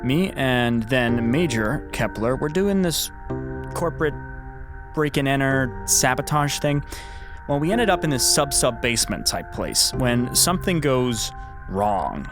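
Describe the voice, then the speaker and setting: Deadpan American special-ops man, music behind. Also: medium pitch, a little slow. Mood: reflective storytelling. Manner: deadpan, matter-of-fact. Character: loyal, wry male special-ops demolitions agent. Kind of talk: audio drama acting, naturalistic dialogue.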